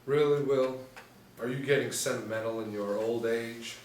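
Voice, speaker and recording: A man's voice: medium pitch, fairly even intonation, moderate pace, lightly acted. A man playing a smug, menacing crime henchman at a script table read. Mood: smug, threatening. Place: small room indoors. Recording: roomy, distant mic, faint noise.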